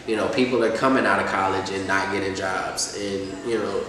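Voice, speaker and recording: A rapper in his twenties from Atlanta, talking casually in an interview, relaxed and rambling with many fillers. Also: sits low, brisk, a crowd in the background. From Atlanta, USA. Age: 20s. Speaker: rapper, guest. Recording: echoey room, distant mic.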